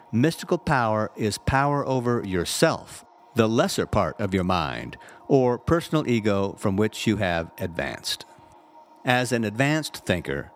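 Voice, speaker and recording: A man reading a scripted inspirational spiritual text as a reverend, with measured, deliberate phrasing and emphatic pauses. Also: lowish voice.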